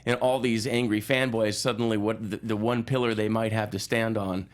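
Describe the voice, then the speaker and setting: Male guest critic, lowish voice, conversational, works out opinions aloud with repeated phrases. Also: fairly even intonation, moderate pace. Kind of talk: casual movie talk.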